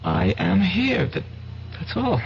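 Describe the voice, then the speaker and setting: A man's voice: high voice, very animated, takes it slowly, vocal fry, full character voice. An actor playing a nervous, fretful trapped man in a radio drama, stammering and repeating himself on an old recording. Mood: shaky reassurance. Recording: dull old radio recording, faint noise.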